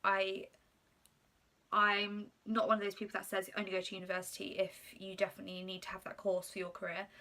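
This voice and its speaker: Young woman, twenties, Polish first language, crisp bright voice, chatty vlog answers. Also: mid-range voice. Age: 20s. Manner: chatty, reads questions aloud and answers with frequent ums. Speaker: YouTuber. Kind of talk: casual get-to-know-me Q&A.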